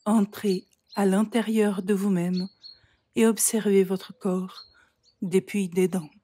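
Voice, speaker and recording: A woman guiding a yoga nidra relaxation in a calm, soft, breathy voice, giving measured instructions with frequent pauses. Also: mid-range voice. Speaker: yoga nidra meditation guide. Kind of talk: slow guided relaxation meditation.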